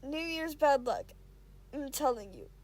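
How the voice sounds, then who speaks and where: Woman doing a smooth, nonchalant young man. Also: high voice, animated, a little mellow. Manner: nonchalant, unhurried. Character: nonchalant, smooth-spoken young male student.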